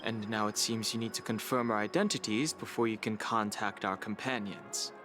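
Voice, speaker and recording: A watchful, reserved young man played by an actor in a video game. His voice is fairly low, calm and measured. Faint music is behind him. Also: natural voice. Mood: concerned.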